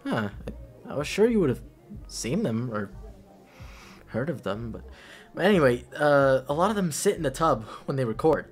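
A young man playing a caring best friend at a party in an ASMR roleplay, talking casually in a halting, rambling way. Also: mid-range voice, big pitch swings, faint music behind, close to an everyday voice. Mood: excited, rambling. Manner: halting, rambling, breathy chuckles. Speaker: roleplay audio performer.

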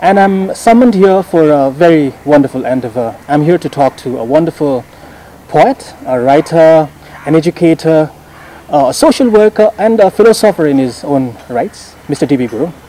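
A man's voice: on the high side, animated, moderate pace, warm tone, low-quality recording. A young man, a radio presenter, interviewing a guest in a garden with admiring, eager questions and frequent "mm-hmm" replies. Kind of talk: interview hosting. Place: outdoor garden. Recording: outdoor recording with faint background noise.

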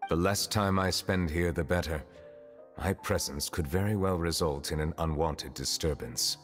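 Casting: male actor as ageless game magistrate, grave and courteous, very low voice, long reverb with music underneath. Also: natural voice. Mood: polite, reserved. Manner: formal, deliberate, reserved. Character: solemn, courteous, ageless male chief justice. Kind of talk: video game voice acting.

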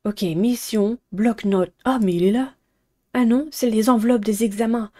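Mellow-voiced young woman hosting a playthrough. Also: on the high side, steady tone, moderate pace. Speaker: gaming video host. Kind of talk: visual novel playthrough with read-aloud and chatty commentary.

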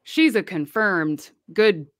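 A woman with a self-described deep voice, speaking as a guest on a livestream panel and calling out critics with passion. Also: very animated, slow, no room sound, clean studio sound. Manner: impassioned, speaks out forcefully.

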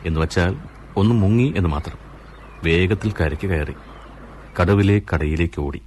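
Brief: male narrator for a radio play, very low voice, measured and even. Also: heavy background noise. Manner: measured, storytelling. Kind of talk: scripted radio drama narration.

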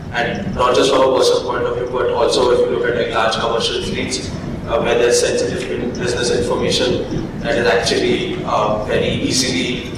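Monotone male panel moderator in echoey hall. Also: mid-range voice. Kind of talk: conference panel discussion. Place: large conference hall stage. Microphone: handheld microphone. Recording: echoey hall, distant mic, noisy.

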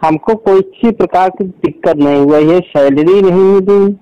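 A man's voice: sits high, normal speed, smooth, no room sound. A man with an eastern Uttar Pradesh accent chatting casually as an interviewee on a lo-fi phone call. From Prayagraj area, northern India. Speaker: interviewee, field vaccinator. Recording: dull lo-fi recorded phone call.

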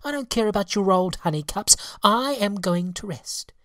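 A small lazy storybook fairy, played by a woman, stubborn and petulant. The voice is lifted well up and moves about in a lively, dry recording. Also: mid-range voice, moderate pace, dry recording, voice pushed up, lightly acted. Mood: sulky, dismissive. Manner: petulant, calling out.

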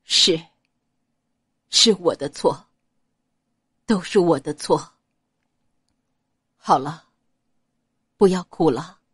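A woman voicing a tender, protective young nobleman who speaks low and soothingly. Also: drawn-out words, long pauses, slightly rough, no room sound, clean studio sound, heavily acted.

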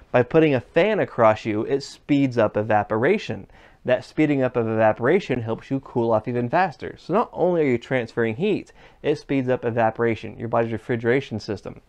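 A man's voice: mid-range voice, a little mellow. A man in his thirties teaching an HVAC class in a workshop, explaining step by step and putting questions to the viewer. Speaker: HVAC instructor. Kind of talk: classroom demonstration lesson. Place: classroom workshop with equipment, indoors. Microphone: fixed camera, standing at mid distance.

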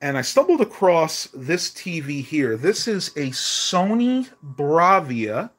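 A man chats through a home live stream, his voice worn and going hoarse. He rambles loosely, filling gaps with ums and uhs. The sound comes from a phone held at arm's length in a small bedroom. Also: on the high side, big pitch swings, slow. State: losing his voice. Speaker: vlogger. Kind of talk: casual live stream chat.

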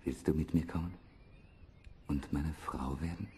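Deep-voiced earnest young prince. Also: male voice, fairly even intonation, unhurried, warm tone, breathy edge, exaggerated voice. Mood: tender, ardent. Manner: declaiming, storybook formality. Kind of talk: fairy-tale audio drama.

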